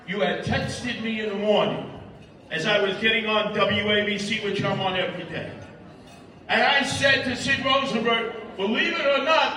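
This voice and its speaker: A man gives a rally speech in a very high, bright and forceful voice. He hammers his points with repetition and declamatory emphasis. The sound echoes heavily, picked up from far off. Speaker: rally speaker and radio host. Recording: echoey public address from far off.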